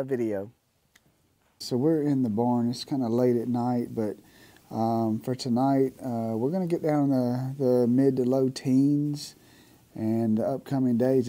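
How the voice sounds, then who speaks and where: A man, talking casually to camera about his farm, nasal from a cold, halting with many fillers and restarts. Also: a little high, warm tone. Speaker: farm vlogger. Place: barn at night.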